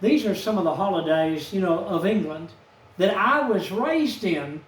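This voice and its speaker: Old man, 70s, folksy, hurt, unhurried, echoey room, distant mic. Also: high-pitched, animated. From Texas, USA. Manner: slow, aggrieved, folksy. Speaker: vlogger. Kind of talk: rambling personal storytelling.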